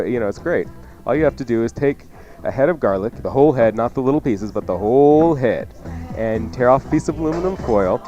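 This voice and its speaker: A man's voice: mid-range voice, a little mellow, lo-fi sound. A man hosting a cooking show on the radio, chatting casually and jokingly as he gives folksy recipe instructions. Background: music under the talk.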